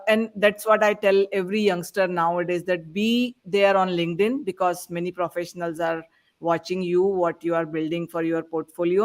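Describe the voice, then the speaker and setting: A high-pitched voice with an Indian accent speaks in a conversational panel style, putting points across as a participant in a discussion. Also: male voice. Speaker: podcast panelist.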